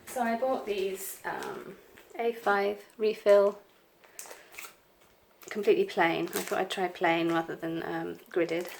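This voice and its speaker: Croaky middle-aged woman with a cold, mid pitch, rambling and apologetic planner chat. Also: a little hiss or hum. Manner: rambling, apologetic, frequent ums. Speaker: planner vlogger. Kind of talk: casual chat over crafting. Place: home craft desk, indoors.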